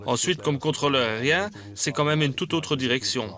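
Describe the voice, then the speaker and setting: A man's voice: fairly high pitch, normal speed, sharp tone. Man delivering a scripted testimonial voice-over.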